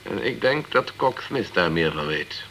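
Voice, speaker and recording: An actor playing a dogged, straight-talking insurance investigator in a Dutch radio crime drama, direct and clipped. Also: male voice, deep voice, big pitch swings, unhurried, fairly bright tone, a little hiss or hum, exaggerated voice. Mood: insistent.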